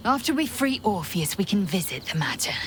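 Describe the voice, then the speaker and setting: An actress playing a stern, proud alien warrior woman in a video game, clipped and commanding, with a breathy, hissed edge and music behind her. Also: mid-range voice, very animated, brisk, lightly acted. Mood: stern, protective. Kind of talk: video game character acting.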